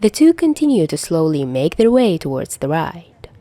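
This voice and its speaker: A woman's voice: low voice, very animated, normal speed, warm tone, no echo. A woman narrating a scripted story in the manner of an audiobook reader. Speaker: podfic narrator.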